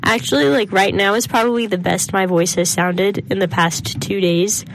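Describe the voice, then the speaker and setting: A woman's voice: mid-range voice, fairly even intonation, normal speed. A young woman with a congested, scratchy throat co-hosting a podcast, chatty and full of "like", on a studio mic. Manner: chatty, says like a lot. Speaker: podcast co-host. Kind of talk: casual chat. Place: small studio.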